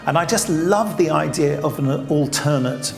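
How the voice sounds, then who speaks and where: A man's voice: a little high, moderate pace, vocal fry, music in the background. A man, producer of the series, talking enthusiastically in an interview. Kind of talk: casual interview.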